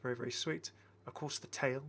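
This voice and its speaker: A man's voice: mid-range voice, steady tone, brisk, a bit bright, slightly rough. A man giving casual, enthusiastic game commentary as a chatty, rambling video host.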